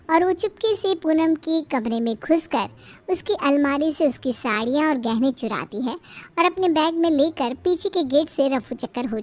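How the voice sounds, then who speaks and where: A woman reads a scripted moral tale as a storyteller. Her voice is extremely high in pitch. Faint music plays behind the narration.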